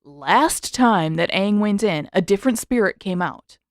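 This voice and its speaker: A woman's voice: medium pitch, animated, unhurried, no echo, natural voice. A brash, outspoken teenage boy read by a woman, worried and pointed. The delivery is emphatic, in a dry storybook recording. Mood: urgent, worried.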